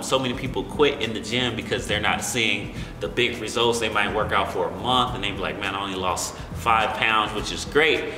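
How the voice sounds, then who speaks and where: A man's voice: fairly low pitch, bright, forceful tone, a little hiss or hum. American man coaching in an echoey room. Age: young adult. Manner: informal, encouraging, often checking in with "all right?". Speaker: fitness coach and video host. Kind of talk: instructional talk to camera. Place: large indoor gym. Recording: echoey, reverberant room with music behind. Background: music.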